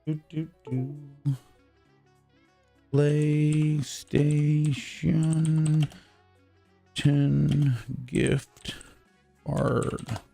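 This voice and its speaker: Hoarse, husky male streamer. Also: on the high side, flat delivery, drawn-out words, long pauses, soft background music. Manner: rambling, loud shouted greetings to viewers. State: hoarse, worn-out voice. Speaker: live streamer. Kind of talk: casual live-stream chat with viewers.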